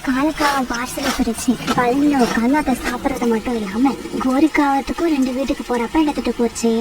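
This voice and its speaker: A woman's voice: sits high, fairly even intonation, brisk. A woman reading a scripted children's story as its narrator. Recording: distant mic, clear background noise.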